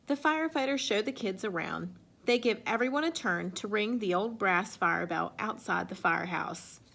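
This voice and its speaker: A woman reading a children's picture book aloud in an expressive way. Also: medium pitch, normal speed, gravelly. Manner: expressive, acts out sound effects and exclamations. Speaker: storybook reader.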